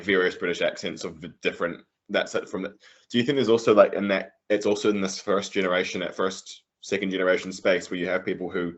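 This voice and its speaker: A man's voice: low voice, fairly bright tone, highs cut off. A man with a Southland New Zealand accent asking a long, rambling interview question full of fillers. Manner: rambling, filler-heavy, many likes.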